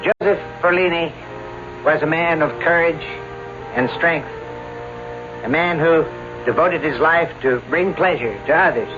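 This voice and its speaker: A man's voice: fairly high pitch, measured pace, long pauses, close to an everyday voice. A man playing a solemn minister giving a formal funeral eulogy in an old radio drama. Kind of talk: 1970s radio drama acting. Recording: dull old radio broadcast with music.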